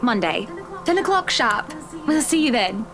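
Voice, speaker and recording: A wealthy young-sounding socialite wife in a story game, with a high voice. She is imperious and brisk, breezily condescending yet playful, with music clearly behind her. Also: big pitch swings. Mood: haughty, brisk. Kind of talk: visual novel game voice acting.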